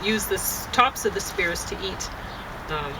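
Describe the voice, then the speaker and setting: A woman chatting casually and haltingly, thinking aloud as she goes and often breaking off to rephrase. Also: animated. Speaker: vlogger and amateur poet. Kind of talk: casual rambling chat to camera. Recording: noisy, distant mic, traffic-like rumble.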